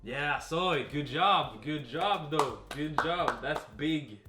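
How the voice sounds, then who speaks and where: High-voiced, hyped-up man coaching a group. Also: lively intonation, slow. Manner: effusive, encouraging, informal hype. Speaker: fitness coach hosting a webinar. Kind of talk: casual group webinar hosting. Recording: roomy, distant webinar audio with faint music.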